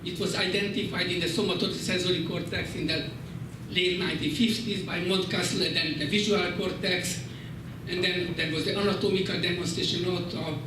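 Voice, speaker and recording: A man's voice: sits high, fairly even intonation, a little fast. A man with a Hungarian accent asking a long, winding question at a conference. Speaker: audience questioner. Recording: echoey hall, distant mic, background noise.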